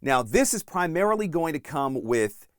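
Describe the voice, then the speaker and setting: A man giving instructional tips as a voice-over coach, speaking directly and emphatically with deliberate stress on key points, close on the mic. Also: fairly high pitch, sing-song, dry recording. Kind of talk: instructional video talk to camera, numbered tips.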